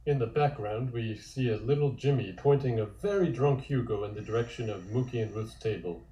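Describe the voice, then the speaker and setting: Mellow male narrator in a roomy space. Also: mid-range voice, brisk. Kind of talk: scripted stage-direction narration. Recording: roomy, echoey room.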